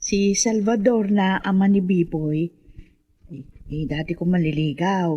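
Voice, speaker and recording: A woman's voice: on the low side, steady tone, measured pace, mellow, lo-fi sound. An actress playing an anxious, sorrowful mother of a grown daughter in a radio horror drama, speaking emotively and hesitantly. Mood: sorrowful recollection.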